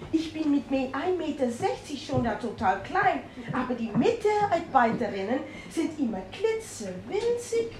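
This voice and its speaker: A woman's voice: sits high, noisy background. A woman with a Dutch accent reading a humorous story aloud, playful and self-mocking, with exclamations. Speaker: comic storyteller. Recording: roomy with faint ambience.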